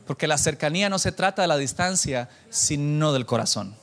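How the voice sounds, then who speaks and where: Animated, conversational male preacher, fairly high voice. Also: moderate pace. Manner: animated, self-deprecating, bantering with listeners; mixes in English phrases. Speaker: preacher addressing a church congregation. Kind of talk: informal conversational sermon with anecdotes.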